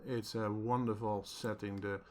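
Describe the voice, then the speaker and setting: A man hosts a casual software tutorial, talking in a hesitant, halting way as he thinks aloud. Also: lowish voice, level tone. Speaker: tutorial host.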